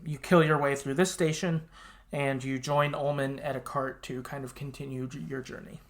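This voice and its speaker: A man with a medium-pitched voice talks as a podcast host, retelling a story in a casual, chatty way. His phrasing is informal and sometimes sweary, and he slips into loose, colloquial paraphrases of what characters say. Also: flat delivery, normal speed.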